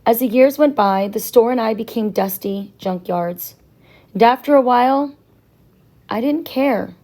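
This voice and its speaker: Woman as older male professor, storybook character, reserved, sorrowful, hesitant, reflective, measured delivery. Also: on the high side, warm tone, natural voice. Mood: resigned.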